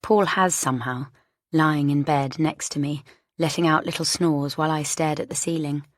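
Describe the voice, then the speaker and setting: A woman's voice: low-pitched, fairly even intonation, normal speed, no echo, clean studio sound. A woman narrating a first-person thriller audiobook from a script.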